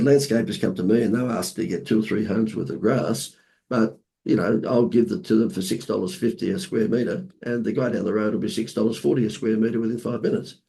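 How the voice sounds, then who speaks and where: An Australian man, hoarse from laryngitis, telling lively marketing anecdotes as a podcast guest with playful asides. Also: on the low side. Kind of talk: casual storytelling interview.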